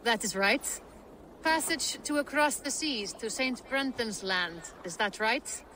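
A woman playing a brisk, no-nonsense ship captain in a video game, clipped and deadpan. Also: fairly high pitch. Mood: firm, wry. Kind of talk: video game cutscene acting. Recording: faint waterside background noise.

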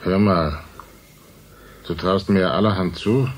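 A deep, wry, slow-talking uncle. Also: male voice, steady tone, slight background noise. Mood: sceptical. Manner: dry, ironic, drawn-out. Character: wry, measured older uncle, a writer. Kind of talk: German radio drama acting.

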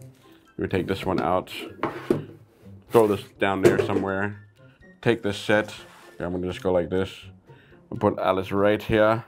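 A man hosting a casual toy review vlog, chatty and easygoing, with sudden bursts of excitement. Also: sits low, a little slow, pauses often, over loud music.

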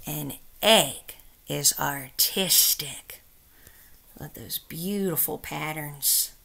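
A woman's voice: deep voice, slow pace, creaky, sharp tone. A middle-aged woman reading a children's picture book aloud, with careful, emphatic phrasing for young listeners. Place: small room at home, indoors.

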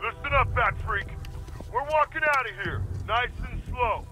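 A man's voice: extremely high pitch, lively intonation, moderate pace, sharp tone, faint music behind, full character voice. A man playing an aggressive, jittery armed henchman in a video game, with a strained edge to his voice. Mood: threatening. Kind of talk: video game cutscene acting.

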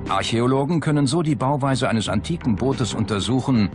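A man's voice: medium pitch, a little fast, over music. A man reading scripted documentary narration as the narrator of a history programme.

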